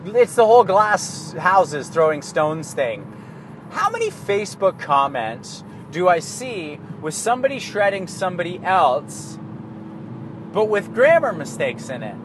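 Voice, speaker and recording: A man's voice: high voice, big pitch swings, a little slow. A Canadian man talking in a casual, rambling monologue, swearing freely and laughing at himself. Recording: street and car noise behind.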